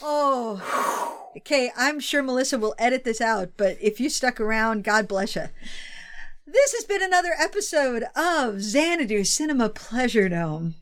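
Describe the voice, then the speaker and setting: A woman's voice: a little high, expressive, normal speed, fairly bright tone. A tired woman, chatting casually and teasingly as a podcast co-host, prone to giggling. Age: 40s. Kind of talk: casual chat.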